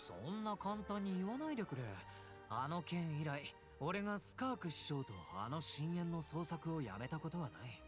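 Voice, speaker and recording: A man's voice: on the high side, expressive, fast talker, music in the background, close to an everyday voice. An actor voicing a breezy, confident young male warrior in a video game. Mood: earnest, frustrated. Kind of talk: Japanese video game voice acting.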